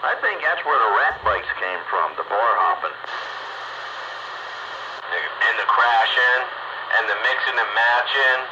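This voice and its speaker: A man's voice: mid-range voice, animated, measured pace, long pauses. A man chatting casually over two-way radio with a folksy, chummy bantering manner. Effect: two-way radio transmission. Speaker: amateur radio operator. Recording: noisy, dull two-way radio audio.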